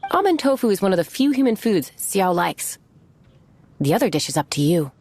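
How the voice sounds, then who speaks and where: A woman's voice: mid-range voice, very animated, a little fast, a little mellow, husky. Wry, lilting lady innkeeper. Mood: teasing. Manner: teasing, unflappable. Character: composed, knowing, wry lady innkeeper boss. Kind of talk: video game voice acting.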